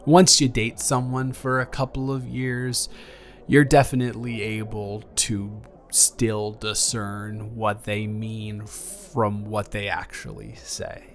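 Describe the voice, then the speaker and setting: A man improvising a caring, flustered young ex-boyfriend in an intimate audio roleplay, speaking casually with stammers and halting phrases. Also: fairly low pitch, soft background music. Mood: gently teasing, caring.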